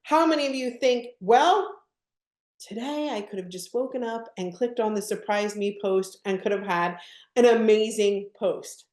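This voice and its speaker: A woman presenting a software demo on a live video call, upbeat and often asking her audience questions. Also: mid-range voice, a little slow, reverberant. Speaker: product presenter. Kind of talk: live webinar product demo.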